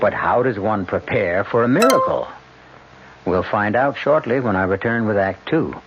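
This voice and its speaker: A man hosting a radio mystery drama, giving theatrical, measured scripted narration. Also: lowish voice, lively intonation. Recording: dull old radio broadcast, music behind.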